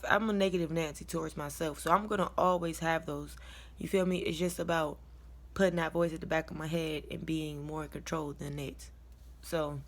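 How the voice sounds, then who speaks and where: Young American female vlogger, lower-pitched voice, informal confessional storytelling, colloquial and unguarded. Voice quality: low-toned, deep voice. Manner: candid, colloquial, rambling, chuckles as she talks. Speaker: vlogger sharing a personal testimony. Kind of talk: casual confessional storytelling to camera.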